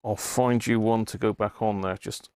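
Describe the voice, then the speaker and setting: A man's voice: lowish voice, fairly even intonation, moderate pace, darkish tone, smooth, dry recording, clean studio sound. A man giving casual, unscripted live commentary to himself as he works on a hobby repair stream. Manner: unscripted, muttering to himself while working.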